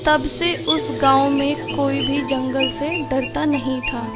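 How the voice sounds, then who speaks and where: A woman's voice: sits high, little pitch movement, takes it slowly, loud music behind the voice. A woman narrating a scripted story for children as a storyteller.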